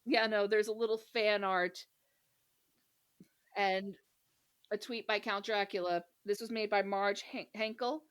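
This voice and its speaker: A woman hosting a podcast in casual, humorous chat, animated and giggly, swearing freely. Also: mid-range voice, unhurried, long pauses, clean tone. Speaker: podcast host.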